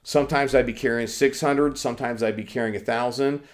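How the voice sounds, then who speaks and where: A man's voice: medium pitch, fairly even intonation, fairly quick. A young man hosting his own channel, sick and losing his voice, talking casually and profanely to camera through a condenser mic in a room with poor acoustics. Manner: loose, profane, opinionated. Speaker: firearms channel host. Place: indoor lounge room.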